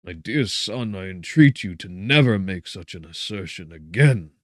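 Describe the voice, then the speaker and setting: A man voicing a loving, grave older father who implores slowly in bewilderment, in an audiobook. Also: low voice, expressive, dry recording, studio quality. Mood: pleading wonder.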